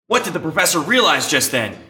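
A man doing a bright, high, melodramatic announcer. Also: very animated, fast, exaggerated voice. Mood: melodramatic, playful. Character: melodramatic, playful radio serial announcer. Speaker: narrator. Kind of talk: old-time radio announcing. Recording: roomy live stage, faint music.

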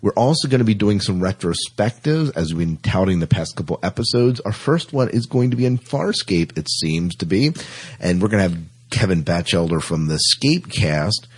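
A man casually hosting a science fiction podcast in a chatty, playful way, with a husky voice. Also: sits low, normal speed. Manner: animated, playful. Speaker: podcast host.

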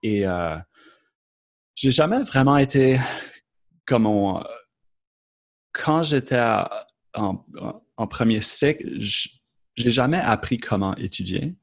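A man's voice: mid-range voice, lively intonation, takes it slowly, pauses often. A man in his thirties from New Brunswick with an Acadian French accent, speaking as a podcast guest in a casual, earnest way with many restarts. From Moncton, New Brunswick, Canada. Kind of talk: casual conversational interview.

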